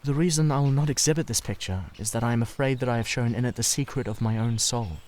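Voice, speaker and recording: A man voicing a sensitive, secretive young painter in a dramatised audiobook, earnest and halting as he confides. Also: medium pitch, background noise, natural voice. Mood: earnest, uneasy.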